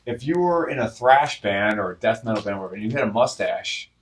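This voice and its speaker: A man's voice: fairly low pitch, expressive, brisk, far from the mic. A man in his fifties in a casual chat, rambling and hedging with plenty of swearing. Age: 50s.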